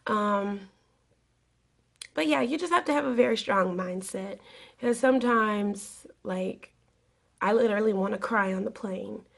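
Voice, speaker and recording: A young woman with a raspy voice, getting over a cold, rambling earnestly through a casual advice vlog to her camera. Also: mid-range voice, unhurried, long pauses, fairly bright tone. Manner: rambling, earnest, with many fillers. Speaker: flight attendant vlogger.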